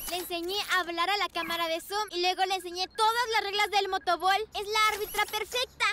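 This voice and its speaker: Very high, eager cartoon girl. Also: steady tone, brisk, slight background noise. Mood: confident. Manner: eager, self-assured. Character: confident, inventive young girl motorcycle, proud of her gadgets. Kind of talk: animated children's cartoon dubbing. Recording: loud music behind the voice.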